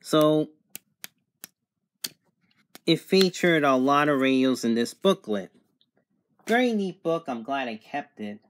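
A man's voice: a little high, animated, slow pace, long pauses, slight background noise. A man giving a casual, plain, step-by-step product demonstration, as a reviewer recording indoors.

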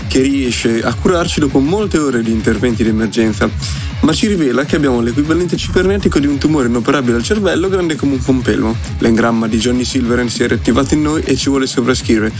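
A man's voice: mid-range voice, lively intonation, fast. A man commenting on gameplay as a streamer, sarcastic, joking and slangy. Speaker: game streamer. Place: small room at home, gaming setup. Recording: music and game sound behind.

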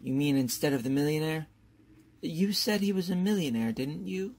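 Husky, effusive bumbling male professor as acted. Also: mid-range voice, animated, normal speed, a little mellow. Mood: flattering. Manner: theatrical, effusive, hesitant fillers. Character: eager, flattering, bumbling male college professor. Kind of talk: old-time radio comedy acting.

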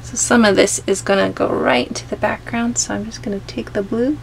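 Gentle woman teaching painting, gravelly edge. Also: medium pitch, fairly even intonation, a little hiss or hum. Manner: gentle, unhurried explaining while painting. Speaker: art teacher. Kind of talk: step-by-step painting tutorial. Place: home studio, overhead camera on painting table.

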